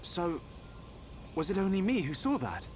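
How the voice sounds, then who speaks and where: An actor playing an earnest, gentle young researcher hero in a video game cutscene. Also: male voice, sits high, very animated, normal speed. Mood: puzzled, intent. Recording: game music under the voice. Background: loud background music.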